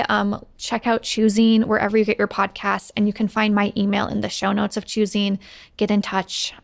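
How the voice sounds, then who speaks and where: Female podcast guest, American, casual and chatty, gravelly fry, dry studio sound. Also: on the high side, no room sound.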